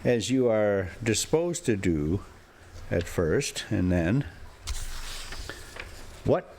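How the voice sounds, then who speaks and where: A man hosting a travel vlog from inside a motorhome, rambling casually and reading a story aloud in a halting, folksy way. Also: lowish voice, sing-song, darkish tone, a little hiss or hum. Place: inside a motorhome while driving.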